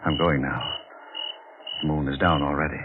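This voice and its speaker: Deep-voiced, grim guilty lover. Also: male voice, steady tone, moderate pace. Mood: grave, resigned. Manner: terse, grave. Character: grim, bitter young lover turned guilty accomplice. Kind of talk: 1940s radio acting. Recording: tinny band-limited 1940s radio, faint noise.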